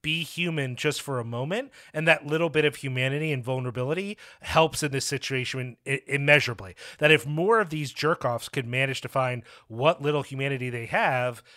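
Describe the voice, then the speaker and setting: A man co-hosting a casual film discussion, animated and swearing, acting out quotes in mocking voices. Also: mid-range voice, fairly quick, few pauses. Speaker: film podcast co-host.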